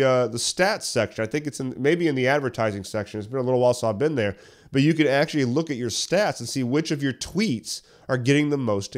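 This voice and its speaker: A man hosting a solo podcast tutorial, talking conversationally and a little hoarse while getting over a cough, walking listeners through tips step by step. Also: medium pitch. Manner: conversational, explains step by step with questions. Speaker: podcast host. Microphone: studio podcast mic.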